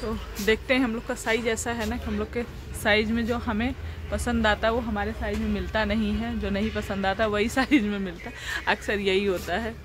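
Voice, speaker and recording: A woman's voice: mid-range voice, flat delivery, a little fast. A woman in her thirties from India, chatting casually through a family vlog, her throat sore. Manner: chatty, homely, laughs often. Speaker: family vlogger. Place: home and market.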